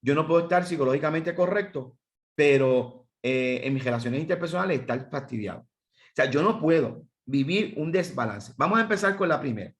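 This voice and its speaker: A man's voice: fairly high pitch, animated, fairly quick. A man with a Puerto Rican accent, teaching a seminar for pastors, counting off points and putting questions to his listeners. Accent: Puerto Rican Spanish. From Puerto Rico. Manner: emphatic, lists points and asks the audience questions.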